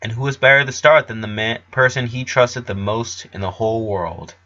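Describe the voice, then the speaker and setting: A man's voice: medium pitch, normal speed, sharp tone, muffled recording. A man reading a story aloud as narrator, in an amateur storytelling style.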